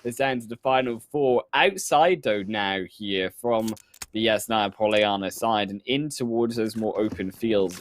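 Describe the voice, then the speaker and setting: A man calling an esports match play-by-play, building excitement around the action. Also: fairly low pitch. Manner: energetic, builds excitement on kills. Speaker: esports caster.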